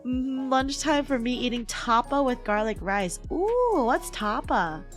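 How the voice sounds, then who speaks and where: A woman livestreamer with a hoarse, cracking voice, chatting casually with her viewers in a playful, giggly manner. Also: high-pitched, lively intonation, unhurried. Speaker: livestream host, VTuber. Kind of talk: casual livestream chat, reading and answering chat messages. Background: background music.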